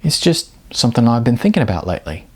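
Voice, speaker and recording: A man's voice: medium pitch, very animated, fast talker, husky. A man voicing an evasive, apologetic young boyfriend, a casual talent agent in a novel. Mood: mildly impatient.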